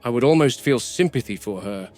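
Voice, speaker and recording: A man playing a grim, wary game warden who narrates a found-footage horror field log in a measured, grave, deliberate manner. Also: medium pitch, expressive, a little mellow, faint music behind, close to an everyday voice. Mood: grim, clinical. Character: grim, wary male game warden logging predator behavior. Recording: noisy VHS-style found-footage recording.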